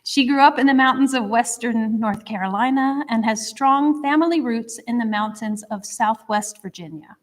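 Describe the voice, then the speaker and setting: A woman's voice: a little high, normal speed, hardly any pauses. A woman hosting a history society talk, chatty and upbeat as she makes announcements and introduces the speaker to a live audience. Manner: chatty, upbeat, a little rambling. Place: lecture room before an audience. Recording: long hall reverb.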